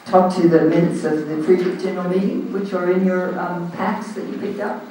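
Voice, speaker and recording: An Australian man running a meeting in a big, very echoey hall, heard from some distance away. His voice sits a little high for a man, with faint room hiss underneath. He talks loudly and informally, with lots of ums and uhs. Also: fairly even intonation, moderate pace. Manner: loud, informal, hesitant with frequent ums. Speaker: meeting chair standing in for the president. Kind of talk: chairing a community meeting. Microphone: handheld microphone.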